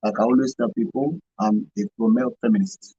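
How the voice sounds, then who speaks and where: A Nigerian man speaks as a studio interview guest, explaining things earnestly and emphatically. His fairly high voice swings widely and has a creaky edge, on a dry, slightly dull recording. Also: animated, brisk, dark tone, no room sound. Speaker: radio show guest, NGO volunteer. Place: radio studio.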